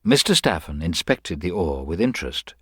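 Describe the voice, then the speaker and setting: Male narrator, low voice, wry scripted storytelling, expressive intonation, dry studio sound. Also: moderate pace, husky, dry recording.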